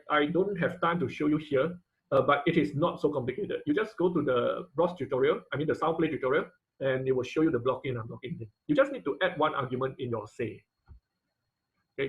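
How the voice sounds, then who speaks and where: Male online instructor, slightly high-pitched, informal and halting with repeated words, on a dull video call. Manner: halting, repeats words and rephrases mid-sentence. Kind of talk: informal live online technical lesson with question and answer. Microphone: video call microphone.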